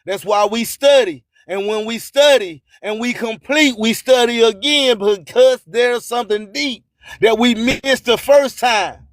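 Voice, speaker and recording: A man speaks in a strikingly high-pitched voice, taking his time over each phrase. He preaches like a motivational radio host, emphatic and fond of repeating his lines. The sound is dry and close, with no room around it. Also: a little slow, dry recording. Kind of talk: motivational spoken-word sermon.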